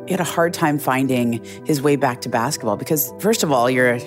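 A woman's voice: very deep voice, fairly quick, music in the background. A middle-aged woman journalist telling a story in an earnest, reflective way, often restarting her phrases. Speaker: journalist guest. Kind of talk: conversational storytelling interview.

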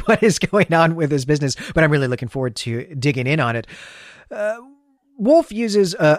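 Man, mid-pitched voice, podcast co-host, bookish, articulate, enthusiastic, literary recap, dry close recording. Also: dry recording. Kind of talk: literary recap discussion, semi-prepared.

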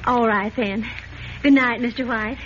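An actress playing a gentle, obliging middle-aged mother in a 1940s radio drama. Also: on the high side, steady tone, measured pace. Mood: kindly, obliging. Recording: dull old radio recording, faint hiss.

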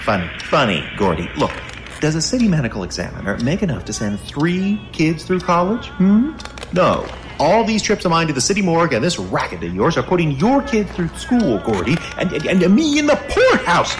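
Excitable wheedling man, lively voice. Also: a little high, faint music behind, low-quality recording. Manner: fast-talking, wheedling, excitable. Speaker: reporter character in a radio play. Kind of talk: old-time radio drama acting.

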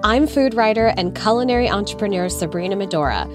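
A woman's voice: mid-range voice, animated, fast talker, over music. A young woman from Canada hosting a food podcast, upbeat and friendly, reading a scripted intro and then chatting casually. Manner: upbeat, friendly, laughs easily. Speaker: podcast host.

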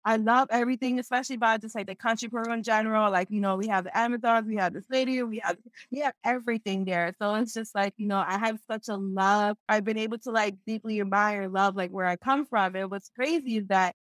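A young woman talks at a quick clip in a close, dry recording. She tells her own story casually and candidly, leaning on slang and repeating phrases for emphasis. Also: mid-range voice, fairly even intonation, no echo. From Philadelphia, United States. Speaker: interview guest, entrepreneur. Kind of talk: casual personal storytelling in an interview.

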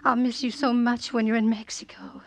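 A woman's voice: a little high, level tone, normal speed, lightly acted. An actress playing a lovesick, clinging young woman in an old radio drama, languid and full of yearning. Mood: yearning, intimate.

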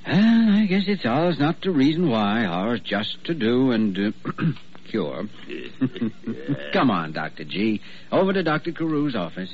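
An actor playing a wry, bantering young doctor with a jaunty manner in an old-time radio drama. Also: male voice, medium pitch, sing-song, unhurried. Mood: breezy teasing. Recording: muffled, hissy vintage radio recording.

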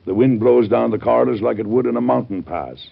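A man's voice: mid-range voice, fairly quick, gravelly, slightly rough. An American man acting an earnest, formal, beleaguered but resolute president in an old-time radio drama. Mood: dry, exasperated. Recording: muffled old radio show recording.

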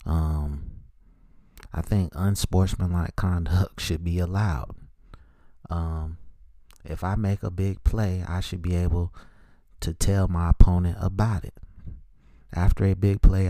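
A middle-aged man hosting a solo podcast, giving his sports opinions in an informal, measured, opinionated way. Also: low-pitched, dark tone, dry recording, studio quality. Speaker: podcast host.

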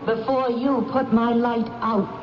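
Slow, echoing ghostly spirit. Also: female voice, a little high, level tone. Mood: reproachful, insistent. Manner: slow, solemn, formal. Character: solemn female ghostly spirit, commanding and reproachful. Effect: ghostly echo reverb. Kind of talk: 1970s radio drama acting. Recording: dull radio broadcast, long reverb, music underneath.

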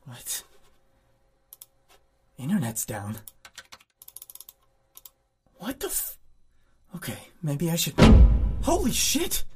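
Man acting a frightened man, swinging wildly in pitch. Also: wildly swooping pitch, very slow, pauses often, heavily acted. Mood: uneasy, baffled. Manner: muttering to himself, swearing, exclaiming. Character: frightened, foul-mouthed ordinary man alone in an apocalypse. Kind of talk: horror audio drama acting. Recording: music behind the voice.

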